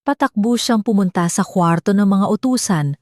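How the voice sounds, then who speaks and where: A woman tells a dramatic story as narrator. Her voice sits at a medium pitch, and the recording is dry and close. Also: moderate pace, darkish tone, no echo.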